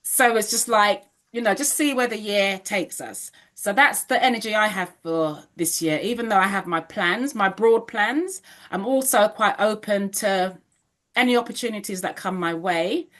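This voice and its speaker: A woman's voice: medium pitch, normal speed, a bit bright. A woman in her fifties hosting an interview podcast, speaking in a reflective, affirming way. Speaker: podcast host. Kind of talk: casual interview chat.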